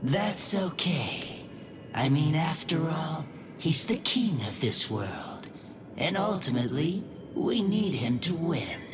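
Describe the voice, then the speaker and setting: A woman's voice: low-pitched, very animated, slow, noisy background, heavily acted. A woman voicing a young inner spirit in an anime video game, casual and teasing with a sly, scheming streak. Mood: breezy.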